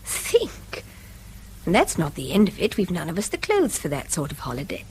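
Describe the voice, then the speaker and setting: An actress playing a weary, self-deprecating middle-aged English wife in a radio drama, with a dry, exclamatory delivery. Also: female voice, lowish voice, huge pitch swings, normal speed, a little mellow, slight background noise. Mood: anxious, practical. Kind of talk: British radio drama acting.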